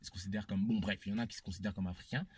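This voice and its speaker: A man's voice: low voice, steady tone, very fast, darkish tone, slightly rough. A man, giving his opinion emphatically in a casual debate and repeating phrases for effect. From Congolese descent. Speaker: panel speaker.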